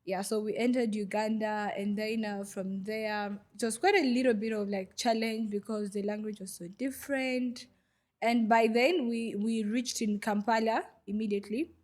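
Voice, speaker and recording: A woman's voice: medium pitch, steady tone, normal speed, darkish tone. A young woman from Goma in the DR Congo, a podcast guest, who tells her story in a hesitant way with many fillers. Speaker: guest, refugee vendor. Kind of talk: podcast interview.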